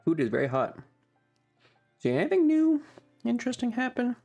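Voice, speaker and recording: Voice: mid-range voice, wildly swooping pitch, normal speed, vocal fry, mellow, muffled recording, soft background music. A streamer chatting casually and informally to a live audience, rambling and thinking aloud. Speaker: streamer and ASMR video creator hosting a livestream. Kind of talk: casual livestream chat.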